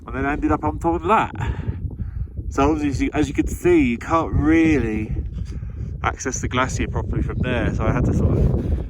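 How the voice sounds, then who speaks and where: A man with a nasal voice, chatting in an awed, thinking-aloud way as he vlogs a solo climb outdoors high on a snowy mountain. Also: normal speed, noisy background. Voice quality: nasal, from ski goggles. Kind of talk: casual vlog commentary. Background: music.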